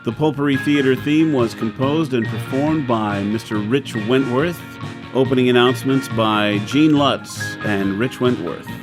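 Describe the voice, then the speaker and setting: A man's voice: mid-range voice, measured pace, music in the background. A man hosting an audio drama show, reading closing credits in a chatty, informal way. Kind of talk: podcast outro with credits, lightly scripted.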